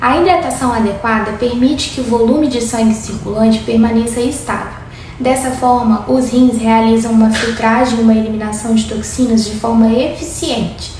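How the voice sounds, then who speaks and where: A woman in her twenties with a Brazilian Portuguese accent, a doctor calmly explaining medical topics in an interview. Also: a little high, moderate pace. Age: 20s. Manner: steady, clinical, explanatory. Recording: very echoey room with faint noise.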